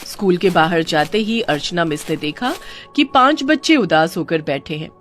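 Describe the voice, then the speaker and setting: A woman's voice: fairly low pitch, lively intonation, normal speed, soft background music. Woman narrating a children's story.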